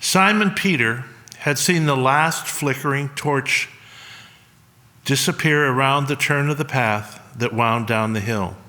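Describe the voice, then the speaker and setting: A man reading a solemn scripted story in a measured way, with pauses. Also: mid-range voice, pushed and bright. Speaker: reader at a church service. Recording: long hall reverb.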